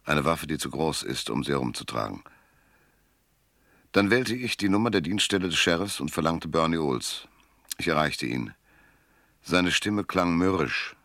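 A hard-boiled, cynical private eye in a noir radio drama. His voice sits extremely low and moves freely in pitch, close and dry in the studio. He speaks in a terse, weary manner. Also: expressive, moderate pace, pauses often, fairly bright tone, dry recording, full character voice. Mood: weary, disgusted. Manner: laconic, dry, world-weary. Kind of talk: first-person noir narration and dialogue in radio drama.

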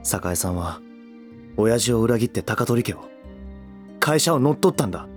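An actor playing a guarded, proud young heir in a Japanese voice drama, with a curt, blunt, defensive way of talking. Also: male voice, mid-range voice, animated, moderate pace, faint music behind, close to an everyday voice. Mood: resentful.

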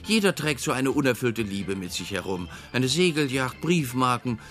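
A jilted bookkeeper in a radio drama. He muses with wistful resignation in a medium-pitched voice, with soft music behind him. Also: sing-song, moderate pace. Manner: musing, philosophizing. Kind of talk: radio play acting.

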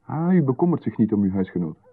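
An actor playing an intrusive, oddly demanding male visitor, calm and coolly insistent. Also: medium pitch, fast talker, husky. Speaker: radio drama actor. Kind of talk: 1990s radio play acting. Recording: tinny narrow-band radio sound.

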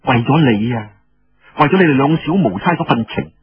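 A man's voice: medium pitch, expressive, normal speed, breathy edge. A man voicing a worldly, chiding noblewoman who consoles like an older sister, emphatic, in a Cantonese storytelling. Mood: emphatic, frank. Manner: emphatic, scolding, sighing. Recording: dull lo-fi radio sound with room echo.

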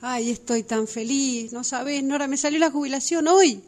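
A woman playing an excitable, stubborn, newly retired woman in her sixties in a radio drama, with an Argentine accent and gushing emphasis. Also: sits high, normal speed, natural voice. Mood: elated. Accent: Argentine Rioplatense. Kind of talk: radio drama workshop phone dialogue.

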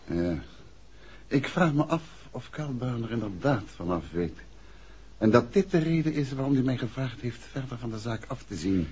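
A man's voice: medium pitch, lively intonation, moderate pace, warm tone, a little hiss or hum, lightly acted. An actor playing a composed, courteous male amateur sleuth and affectionate husband in a 1960s Dutch radio drama. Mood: calm, inquiring. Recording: dull, muffled 1960s radio recording.

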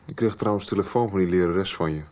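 Guy acting young bloke in a radio drama, really low voice, blunt n teasing, kinda dismissive. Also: fast talker, a little mellow, natural voice.

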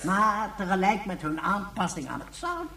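A woman playing a courteous, knowledgeable elderly character in a radio play, with a creaky voice and a halting, explanatory manner. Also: mid-range voice, sing-song, bright, forceful tone, a little hiss or hum. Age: older. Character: courteous, knowledgeable elderly person, leisurely storyteller. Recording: echoey room sound.

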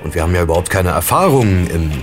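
An actor playing an easygoing young night porter in a German radio play, with a casual, colloquial way of talking. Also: male voice, sits low, lively intonation, quick pace, over music. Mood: wry.

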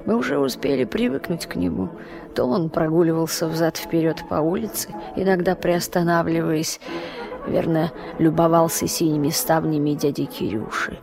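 A woman's voice: low-pitched, creaky. A woman narrating childhood memories as scripted storytelling in a radio play, in a reflective way.

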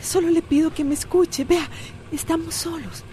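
High-voiced weary Costa Rican mother. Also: steady tone, moderate pace, dark tone, slight background noise. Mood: pleading, firm. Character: weary but determined wife and mother. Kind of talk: radio drama acting.

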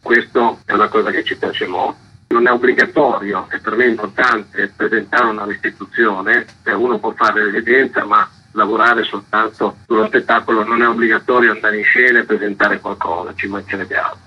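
A man with a husky voice, a theatre's artistic director, explaining a programme in an interview. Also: medium pitch. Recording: dull lo-fi radio interview audio.

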